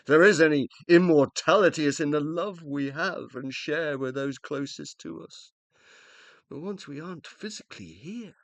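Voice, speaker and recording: An actor in a radio play voicing the uploaded mind of a dead scientist, self-reproaching and loving, with an emotional, hesitant, confessional delivery. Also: male voice, fairly high pitch, normal speed, no room sound, natural voice. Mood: earnest regret.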